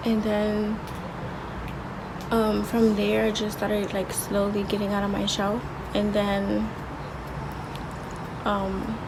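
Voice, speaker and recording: A young woman in her twenties talking casually in a vlog, with a soft, low voice and a slangy, rambling way of talking full of restarts. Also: noisy background. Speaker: vlogger.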